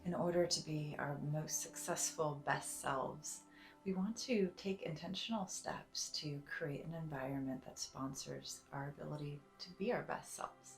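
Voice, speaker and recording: A woman leading a guided meditation in a soft, soothing, deliberate way, sometimes breathy, with long pauses. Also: low-pitched, echoey room. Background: soft music.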